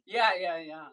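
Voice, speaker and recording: A woman from Missouri with a deep voice, chatting casually on a livestream while she packs and ships orders, often breaking off mid-thought to handle a task. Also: fairly bright tone. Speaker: online seller streaming a live sale.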